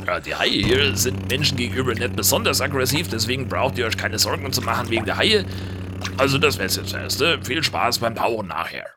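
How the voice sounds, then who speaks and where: A man's voice: medium pitch, expressive, brisk, noisy background, natural voice. A man playing a laid-back, cocky diving instructor in an amateur film re-enactment, casual and jokey. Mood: breezy, joking.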